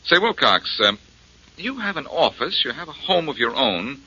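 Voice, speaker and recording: An actor playing a brash, wisecracking detective who presses with sardonic questions in a 1940s radio drama. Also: male voice, mid-range voice, big pitch swings, moderate pace, bright, forceful tone, slightly rough, exaggerated voice. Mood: mocking. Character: brash, wisecracking male amateur detective, confident and needling. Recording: dull vintage 1940s radio recording.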